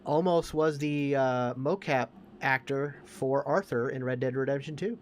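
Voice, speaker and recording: Man, mid-pitched voice, casual podcast co-host, emphatic, opinionated, faint background noise. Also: unhurried.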